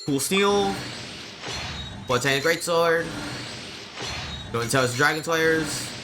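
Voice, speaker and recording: A man giving casual live gameplay commentary as a streamer, with mild, low-key, resigned reactions. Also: animated, slow, background noise. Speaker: game streamer. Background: game music.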